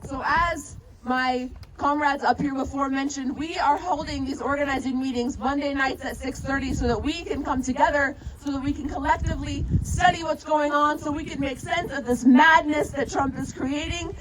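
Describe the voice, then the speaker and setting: A woman's voice: high voice, fairly even intonation, normal speed, few pauses. A woman giving a fiery rally speech outdoors, calling on the crowd to answer her. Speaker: protest speaker, educator organizer. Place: outdoor protest in a city. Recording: noisy outdoor rally recording.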